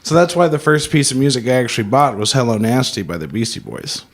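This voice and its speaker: A man's voice: mid-range voice, big pitch swings, fast. A man of about thirty interviewing guests on the radio, thoughtful and agreeable, often affirming. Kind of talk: casual interview.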